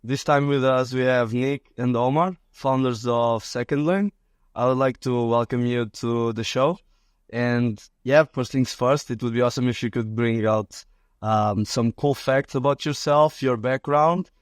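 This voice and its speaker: A man's voice: medium pitch, fairly even intonation, moderate pace, smooth, no room sound, studio quality. A man hosting a podcast, welcoming guests and asking about their backgrounds in an upbeat way. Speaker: podcast host. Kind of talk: podcast introduction.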